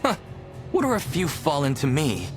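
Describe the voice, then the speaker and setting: A man's voice: on the high side, huge pitch swings, normal speed, music in the background. An actor playing a cocky, impatient young male fighter in a video game, with a brash manner. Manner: brash, dismissive. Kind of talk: video game cutscene dialogue.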